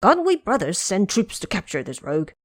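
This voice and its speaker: A woman's voice: medium pitch, wildly swooping pitch, brisk. A woman voicing a hot-tempered, proud male dragon king in an audio drama. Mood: indignant. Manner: angry, proud.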